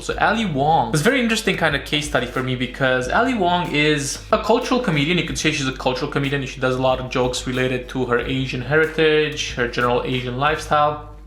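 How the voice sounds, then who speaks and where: A man's voice: medium pitch, a bit bright. A man from Romania giving casual, analytical review commentary, often rephrasing himself and checking in with "right?". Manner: repeats and rephrases, often tags sentences with "right?". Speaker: YouTube comedy reviewer and comedian. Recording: roomy echo with music behind.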